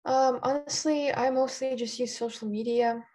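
A young woman from Kazakhstan whose first language is Kazakh, answering interview questions casually and often pausing on "like" while searching for words. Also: high voice, fairly even intonation, mellow. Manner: chatty, many "like" fillers, searches for words. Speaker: interview guest.

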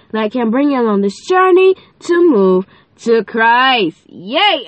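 A woman's voice: sits high, a bit bright, dry recording. A teenage girl with a lilt chats away on her podcast, bubbly and playful, full of fillers and giggly asides. Her voice sounds stuffy and nasal from a cold. She is recorded close up in a dry, dead space. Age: teens. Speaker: podcast host. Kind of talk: casual faith podcast chat.

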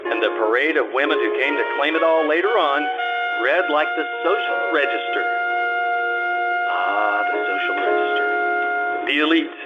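A man's voice: a little high, huge pitch swings, normal speed. A man playing a cool, wisecracking private eye in an old-time radio detective show, with wry, clipped tough-guy patter. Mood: wry narration. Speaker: amateur radio troupe actor. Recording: muffled recording with faint music.

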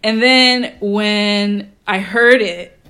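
A woman from Costa Rica talks as a guest about her own film. Her speech is animated and full of fillers, and she often breaks into laughter. Her voice sits at a middle pitch. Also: drawn-out words. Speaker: filmmaker guest. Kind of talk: casual interview conversation.

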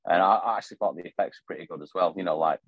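A man's voice: deep voice, rapid-fire, no echo. A man co-hosting a film podcast, chatting casually in short, piecemeal phrases, on dull audio. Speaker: film review podcast co-host.

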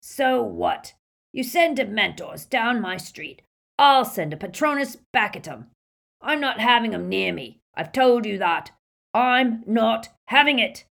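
A woman voicing a gruff, defiant old male pub barman in an audiobook, scornful and quick-tempered. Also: on the low side. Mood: bellowing, angry.

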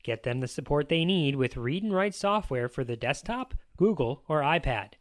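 A man's voice: a little high, animated, normal speed, no echo, clean studio sound. An American man narrating a scripted podcast as host, with an emphatic, upbeat presenter delivery.

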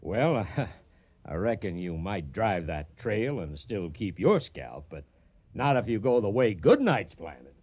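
An actor playing a blunt, mocking frontier loafer with a sneering Western drawl in a radio drama. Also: male voice, lowish voice, sing-song, a little slow, a little mellow, slightly rough, full character voice. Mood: scoffing. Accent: American Western drawl. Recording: dull, band-limited radio drama recording.

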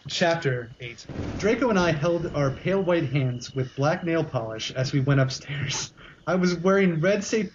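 Male podcast reader, higher register, amused comic narration, lo-fi sound with faint noise. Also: animated, normal speed. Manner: amused, breaking into laughter while reading. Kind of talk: comic dramatic reading of fan fiction.

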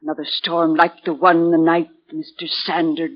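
An actress playing a superstitious, ominous housekeeper in a 1940s radio drama, speaking with insinuating foreboding. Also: female voice, deep voice, little pitch movement, moderate pace. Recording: noisy, dull 1940s radio recording.

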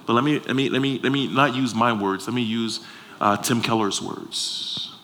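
A male preacher from Liberia speaks at a middle pitch. He delivers his sermon emphatically, repeating phrases and putting questions to the congregation. It is a live recording with noticeable ambient noise behind him. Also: moderate pace. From Liberia, West Africa. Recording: clear background noise, live room ambience.

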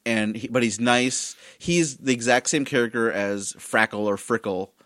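A man's voice: medium pitch, moderate pace, a bit bright, no room sound. A man co-hosting a casual movie review podcast, a bit tipsy, rambling and stumbling over his words as he jokes. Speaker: podcast co-host.

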